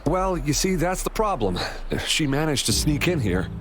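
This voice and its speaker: A woman's voice: low voice, sing-song, normal speed, noisy background. A woman voicing a kind, somewhat hesitant soldier looking after a child, in a video game. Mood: patient, matter-of-fact. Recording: music behind the voice. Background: background music.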